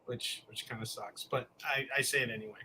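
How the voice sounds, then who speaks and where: A man from the Chicago area, a comics creator talking as a guest in a casual interview, friendly and earnest, often pausing on ums and uhs. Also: mid-range voice, fairly even intonation, a crowd in the background, poor audio.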